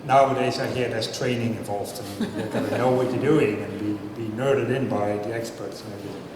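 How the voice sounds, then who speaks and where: A man's voice: medium pitch, steady tone, brisk, distant mic. A man, giving a chatty, self-deprecating talk and putting questions to a live audience. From Netherlands. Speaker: speaker at a science talk night. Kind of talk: casual public talk with audience banter. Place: large hall before a live audience. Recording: echoey hall, mic from afar, noisy.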